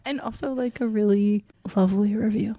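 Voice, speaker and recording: A woman's voice: mid-range voice, fairly even intonation, a little fast, dark tone, muffled recording. A woman co-hosting a paranormal podcast, bubbly and enthusiastic, her voice scratchy from allergies. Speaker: podcast co-host. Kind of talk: casual podcast chat.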